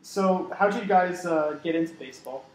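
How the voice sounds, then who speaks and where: Young male interviewer, fairly high voice, casual sports chat, roomy echo. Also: moderate pace. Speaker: interviewer, host. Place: living room, indoors. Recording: echoey room with faint background noise.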